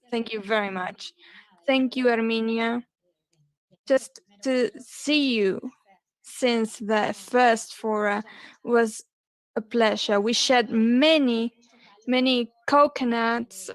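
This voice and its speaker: A woman interpreting a panel discussion live, her voice set a little higher than most. She moves slowly and haltingly, pausing often and correcting herself mid-phrase. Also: low-quality recording. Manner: halting, with pauses and self-corrections. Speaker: simultaneous interpreter.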